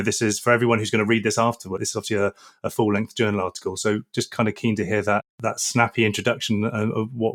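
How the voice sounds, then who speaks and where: A man hosting an academic podcast, asking questions quickly with many repeated words and restarts. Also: medium pitch, fairly bright tone. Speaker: podcast host, professor. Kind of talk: podcast interview.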